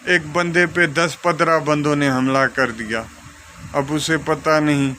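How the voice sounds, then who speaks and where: A man's voice: fairly high pitch, fairly even intonation, sharp tone, a little hiss or hum. A man with a Pakistani accent giving an informal law lesson, explaining with examples and mixing in English legal terms. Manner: code-switches between Urdu and English legal terms, reading out clauses deliberately. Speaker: law lecturer.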